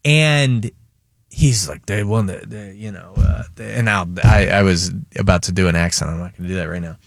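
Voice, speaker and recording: A man hosting a radio show, telling a story in casual, animated banter with co-hosts and callers. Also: low voice, gravelly, dry recording, studio quality. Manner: animated storyteller, quick asides.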